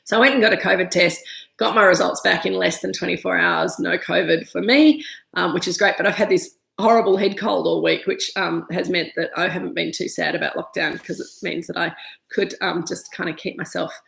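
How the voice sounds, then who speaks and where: A woman in her thirties with an Australian accent talks her way through a wine tasting, stuffy from a cold and full of ums and restarts. Her voice has a gravelly edge. The room around her echoes noticeably. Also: lowish voice, fairly even intonation, brisk, a bit bright. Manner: chatty, many ums and restarts. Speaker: winemaker hosting a live virtual tasting. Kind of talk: casual live-stream chat, reading viewer comments. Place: winery room.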